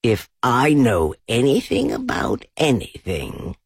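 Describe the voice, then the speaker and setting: A man voicing a slow, simple, honey-loving male bear who hums to himself dreamily. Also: medium pitch, huge pitch swings, vocal fry, breathy edge, dry recording, studio quality, exaggerated voice. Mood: humming.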